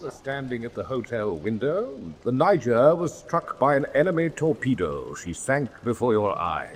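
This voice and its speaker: A dry, disdainful British naval investigator played by a male actor in a radio play. His medium voice moves a great deal in pitch as he makes his points, with faint noise behind. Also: big pitch swings, moderate pace, close to an everyday voice. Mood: accusing. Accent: British English. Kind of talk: radio drama acting.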